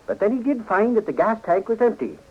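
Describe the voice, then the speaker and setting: An actor playing a hot-headed, boastful Irish reporter in 1940s radio style, blustery and folksy. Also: male voice, high-pitched, expressive, brisk. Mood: chatty, confident. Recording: dull, lo-fi 1940s radio recording.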